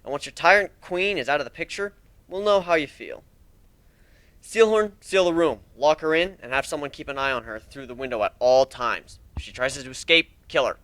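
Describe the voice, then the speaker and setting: Griffin interrogator read by a man: swaggering, sarcastic, menacing male creature, slightly high forceful voice, fantasy storybook. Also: animated, a little fast, no echo. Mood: matter-of-fact, threatening.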